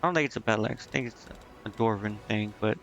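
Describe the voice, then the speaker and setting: A man's voice: fairly low pitch, fast talker, creaky, fairly bright tone, highs cut off, faint music behind. A man giving casual live gameplay commentary, thinking aloud to himself in a hesitant, muttering way. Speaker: game streamer.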